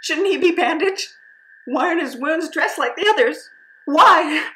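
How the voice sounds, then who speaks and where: Audio drama role: woman playing a wounded soldier with lost memory, earnest and halting, with animated swings in pitch. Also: slight background noise, exaggerated voice. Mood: distressed.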